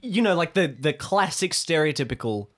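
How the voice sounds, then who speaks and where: A man chatting casually and excitably as a player on an improv role-play podcast. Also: a little high, normal speed. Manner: excitable, animated. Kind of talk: casual group banter about a role-playing character.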